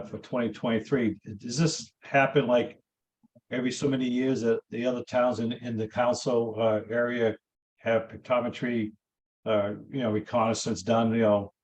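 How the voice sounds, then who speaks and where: A man's voice: mid-range voice, darkish tone, poor audio. A man on the council asking a question in a rambling way, with many fillers. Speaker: council member.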